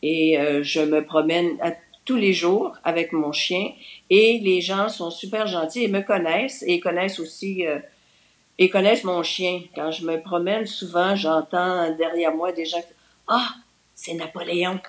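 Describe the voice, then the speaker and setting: A woman's voice: on the low side, fairly even intonation, normal speed. Older Québécois woman, cheerful guest. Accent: Québécois French. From New Brunswick, Canada. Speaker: interview guest. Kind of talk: casual remote interview.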